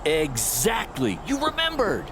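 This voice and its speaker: A man's voice: high voice, wildly swooping pitch, unhurried, creaky, background noise, exaggerated voice. A man playing an earnest, remorseful middle-aged wrestling mentor in a video game cutscene, speaking with sincere emphasis. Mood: warm, encouraging.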